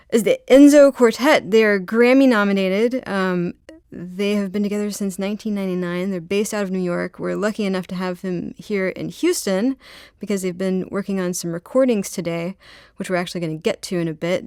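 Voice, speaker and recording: A woman's voice: mid-range voice, darkish tone, clear, resonant tone, dry recording. A woman hosting a radio interview in a studio, asking curious, upbeat questions. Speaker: radio show host.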